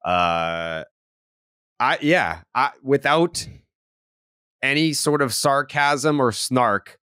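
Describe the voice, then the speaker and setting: A man's voice: mid-range voice, expressive, drawn-out words, pushed and bright, smooth, dry recording, studio quality. A man hosting a baseball podcast, chatting casually. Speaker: sports podcast host.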